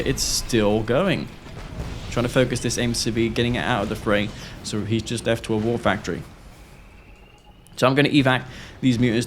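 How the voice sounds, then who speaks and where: A man commentates his own strategy game in a medium-pitched voice. He has a sore throat as he talks through the play. Faint noise sits behind his voice. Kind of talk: gameplay commentary of own match.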